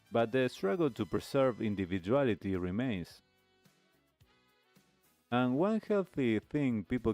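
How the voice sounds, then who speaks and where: Male talk host, low register, very unhurried and deliberate, reflective monologue over a music bed. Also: darkish tone, no room sound. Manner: measured, deliberate phrasing with pauses. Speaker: radio show host.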